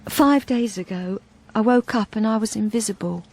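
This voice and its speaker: A woman's voice: medium pitch, moderate pace, darkish tone, a little hiss or hum, close to an everyday voice. A woman playing a timid, anxious wife in a radio drama, speaking hesitantly with stammering restarts, both in dialogue and intimate narration. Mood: quiet, confiding. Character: anxious, timid wife who has turned invisible.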